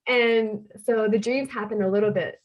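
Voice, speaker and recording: A woman's voice: a little high, fairly even intonation, moderate pace, low-quality recording. Earnest woman telling her story. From Canada. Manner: earnest, heartfelt, repeats phrases for emphasis. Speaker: podcast guest. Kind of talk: personal storytelling in a conversation.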